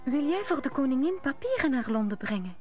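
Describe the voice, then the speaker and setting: A woman's voice: high voice, very animated, fairly quick, darkish tone, soft background music. An actress playing an anxious lady, confidante to a queen, in a radio play. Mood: urgent.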